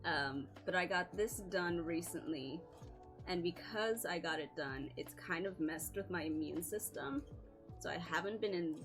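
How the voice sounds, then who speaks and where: A woman's voice: lowish voice, a little slow, music in the background. A woman streaming live with a scratchy voice, chatting casually, apologizing often, swearing and laughing a lot.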